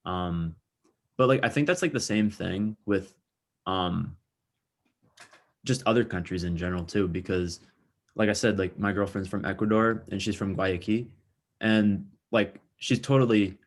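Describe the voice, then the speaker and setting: A man's voice: on the low side, a little slow. A young man co-hosting a podcast, chatting casually and thinking aloud with frequent fillers and restarts. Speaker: podcast co-host. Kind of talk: casual discussion.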